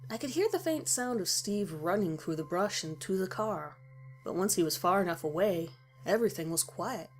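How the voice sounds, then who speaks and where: A woman's voice: fairly low pitch, lively intonation, normal speed, a little mellow. Woman narrating horror over faint music. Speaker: story narrator. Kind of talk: scripted first-person horror narration.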